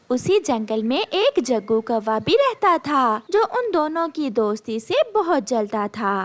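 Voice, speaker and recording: A woman storyteller reading scripted narration for a children's cartoon. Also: fairly high pitch.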